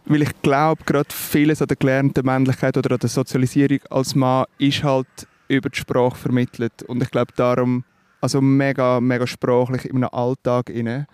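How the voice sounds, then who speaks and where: A man's voice: medium pitch, level tone, normal speed, a little mellow, smooth, dry recording. A man with a Swiss German accent, a musician being interviewed, talking thoughtfully and hesitantly with fillers and restarts. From Switzerland. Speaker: musician guest. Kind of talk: reflective interview talk.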